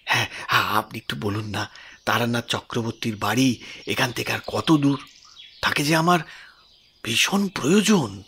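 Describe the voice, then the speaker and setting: A man's voice: mid-range voice, sharp tone, slight background noise. A man voicing an anxious, humble old village man in an audio story, pleading and apologetic, stumbling and repeating his words. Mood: flustered, pleading. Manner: halting, repetitive, apologetic pleading.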